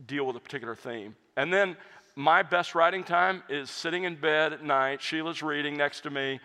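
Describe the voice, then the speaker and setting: Earnest pastor in a reverberant hall. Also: male voice, on the high side, moderate pace, sharp tone. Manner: earnest, reflective, with frequent restarts. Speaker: pastor and hymn writer answering audience questions. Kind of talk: conversational Q&A talk.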